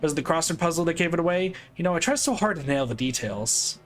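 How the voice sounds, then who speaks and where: A man's voice: a little high, animated, quick pace, soft background music, lightly acted. A man voicing a smug, manipulative male villain who taunts with theatrical mockery. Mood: taunting. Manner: mocking and theatrical.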